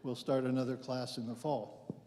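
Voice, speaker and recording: A man's voice: medium pitch, flat delivery, normal speed, noisy background. A man introducing himself in a class, plain and matter-of-fact. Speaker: class participant. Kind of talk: casual self-introduction. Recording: long church-hall reverb.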